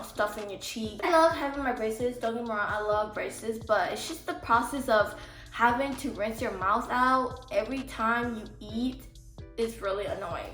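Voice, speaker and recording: A woman's voice: a little high, fairly bright tone. A woman vlogger giving casual advice in a rambling, chatty way, with a slight lisp from her braces. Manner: chatty, rambling, heavy use of "like". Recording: echoey room with faint music.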